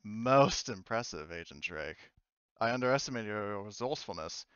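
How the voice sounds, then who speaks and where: A man voicing a cunning female corporate executive in a tabletop game, measured and businesslike. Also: on the low side, animated, a bit bright, clear, resonant tone, no echo, dull audio. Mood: impressed, probing.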